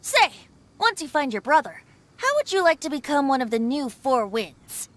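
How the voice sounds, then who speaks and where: A woman's voice: high voice, sing-song, moderate pace. A woman voicing a youthful boyish male bard in a video game, a playful and philosophical god with a breezy, sometimes wistful manner.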